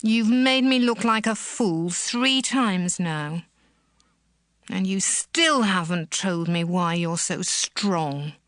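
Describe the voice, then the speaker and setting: A woman's voice: fairly low pitch, slow pace, a bit bright, no room sound, clean studio sound. An actress playing a scheming young woman in a dramatized scripture reading, coaxing and reproachful by turns.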